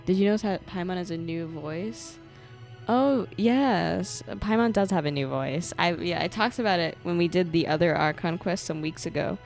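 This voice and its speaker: A woman's voice: fairly low pitch, normal speed, gravelly, darkish tone. A woman giving casual, playful commentary on a game livestream, joking and giggly. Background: game music.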